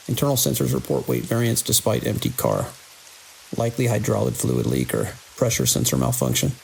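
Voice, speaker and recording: Casting: actor as skeptical night-shift security boss, male, heavy vocal fry, lively intonation, dry authority, horror audio drama. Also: mid-range voice, slight background noise, low-quality recording. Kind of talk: immersive audio drama acting.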